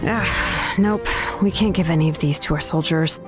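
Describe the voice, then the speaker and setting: A woman's voice: sits low, normal speed, music in the background, lightly acted. A woman voicing a weary, exacting but easygoing blacksmith in a video game, casual and considerate. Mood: frustrated, restrained.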